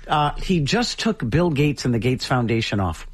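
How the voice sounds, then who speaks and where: A man's voice: very animated, normal speed. A man hosting a morning radio show, chatting casually and telling stories with chuckling asides. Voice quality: deep, slightly husky voice.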